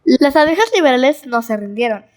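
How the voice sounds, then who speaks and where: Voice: high-pitched, animated, brisk. A schoolchild with a Mexican accent reading scripted narration in a school audio drama. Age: child.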